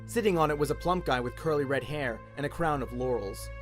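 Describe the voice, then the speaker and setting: A man with an Australian accent giving an expressive, dramatic audiobook narration in radio-play style. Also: medium pitch, brisk, faint music behind. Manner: expressive storytelling.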